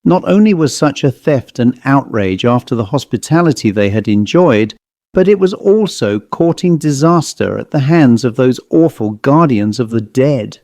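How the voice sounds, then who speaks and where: Mellow male story narrator. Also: mid-range voice, lively intonation, normal speed, no room sound, clean studio sound. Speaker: audiobook narrator.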